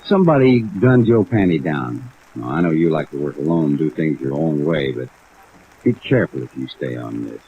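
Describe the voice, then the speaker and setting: A man's voice: extremely low pitch, lively intonation, moderate pace. A man playing a friendly, worried, folksy insurance company man in a 1950s radio drama. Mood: worried, admiring. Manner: folksy, exclamatory, solicitous. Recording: tinny vintage radio, faint rain noise.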